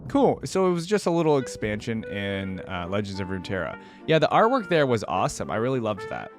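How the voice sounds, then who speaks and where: A man gives casual, off-the-cuff reaction commentary as a video host. His intonation moves around in a lively way over an ordinary mid pitch, with music clearly behind him.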